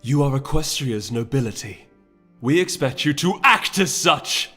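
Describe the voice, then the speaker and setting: A man's voice: medium pitch, expressive, a little slow, sharp tone. A stern royal mare of a fantasy storybook, acted out by a man. She speaks formally and imperiously, with weary authority, over background music. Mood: commanding anger. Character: regal mare princess, stern, weary, commanding.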